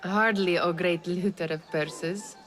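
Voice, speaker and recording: A woman's voice: lowish voice, fairly even intonation, a little slow, fairly bright tone, soft background music. An actress playing a wry, teasing young female companion to a thief in a video game cutscene. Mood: playful, teasing.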